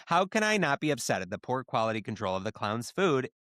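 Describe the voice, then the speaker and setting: A scornful, indignant male mascot acted out by a man, with lively pitch swings in a comic story reading. The sound is dry and close. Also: fast, no echo. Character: scoffing, indignant round-headed fast-food clown mascot man.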